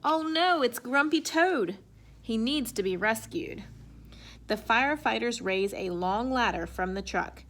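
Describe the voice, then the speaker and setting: A woman reading a children's picture book aloud in an expressive way. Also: fairly high pitch, a little slow, a little hiss or hum. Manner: expressive, acts out sound effects and exclamations. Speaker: storybook reader.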